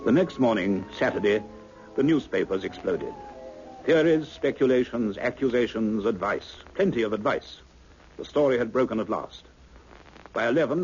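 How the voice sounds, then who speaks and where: An actor playing an earnest, troubled British scientist who narrates his story in a measured, reflective way in a radio serial. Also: lowish voice, darkish tone, breathy edge. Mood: grave. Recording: muffled old-time radio recording.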